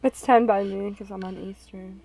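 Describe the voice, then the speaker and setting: A woman's voice: mid-range voice, steady tone, moderate pace, darkish tone, faint music behind. A woman chatting casually as a guest in a group visual novel playthrough. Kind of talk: casual chat.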